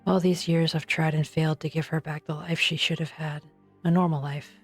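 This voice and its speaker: A weary researcher played by a woman speaks gravely and deliberately in a low voice. She sounds sombre yet resolute, as in a game drama, with faint music underneath. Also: flat delivery. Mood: guilt-ridden. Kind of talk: English fan dub of an anime game.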